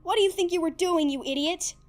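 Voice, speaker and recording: A woman voicing a nervous but hot-tempered young man who yells in anger. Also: on the high side, quick pace, faint music behind, lightly acted. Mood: furious. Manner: shouting in rage, nervous small talk. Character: nervous, furious young man hunting aliens.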